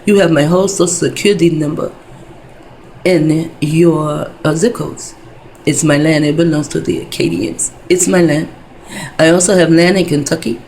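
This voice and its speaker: A woman's voice: sits low, darkish tone, slight background noise, rough recording. A woman in her fifties talking in a rambling casual monologue, wandering between thoughts, full of fillers and profanity. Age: 50s.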